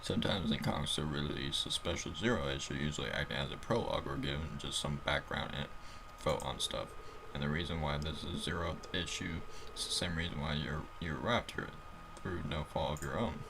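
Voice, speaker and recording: A man's voice: very deep voice, brisk, gravelly, noisy background. Man putting on a perky, teasing clinic lady. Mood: breezy, explanatory. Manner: breezy, explanatory, playful. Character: perky, teasing female vet-clinic guide in a comic game.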